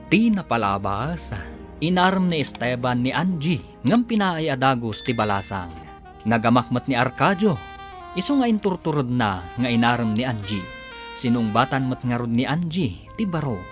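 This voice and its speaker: A man's voice: medium pitch, big pitch swings, normal speed. A man with an Ilocano accent narrating and announcing a radio drama in a dramatic scripted style. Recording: music underneath.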